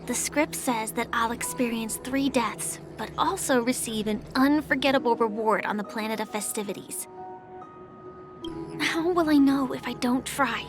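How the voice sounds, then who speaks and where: A gentle young woman, voiced by an actress, with a very high, sweet pitch. She sounds earnest and polite, a quietly determined game heroine. Music runs underneath. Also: lightly acted. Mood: calm resolve. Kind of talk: video game voice acting. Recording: music behind the voice. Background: background music.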